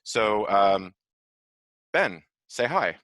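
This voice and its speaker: A man's voice: fairly low pitch, lively intonation, extremely slow, fairly bright tone, no room sound. A man hosting and moderating an online panel discussion, speaking over a video call. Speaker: host.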